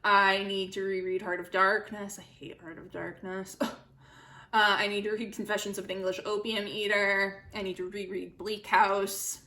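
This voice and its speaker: Young woman with a bright voice. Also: mid-range voice, flat delivery, normal speed. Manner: chatty, giggly, self-deprecating. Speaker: book vlogger, channel host. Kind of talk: casual chatty book vlog.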